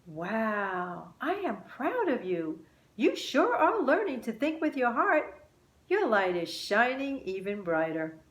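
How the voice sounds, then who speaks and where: A woman voicing a kind, encouraging butterfly mentor in a children's storybook, speaking in a gently instructive way. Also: a little high, sing-song, slow, echoey room, pitched above the performer's own voice, exaggerated voice. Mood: proud.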